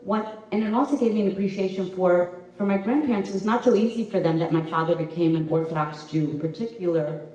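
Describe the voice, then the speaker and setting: A middle-aged woman from the Boston area, a guest at a live community interview, tells stories from her childhood with many pauses. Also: on the low side. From Boston area, USA. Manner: warm storytelling, many um pauses. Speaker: interview guest, preschool director. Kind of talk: casual conversational interview. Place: community center event room. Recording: echoey room, far from mic, dull sound.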